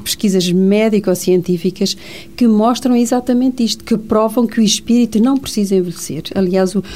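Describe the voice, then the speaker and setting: A woman's voice: medium pitch, mellow. Hesitant woman host. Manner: hesitant, many fillers. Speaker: radio show host. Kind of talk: radio talk show conversation. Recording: radio studio.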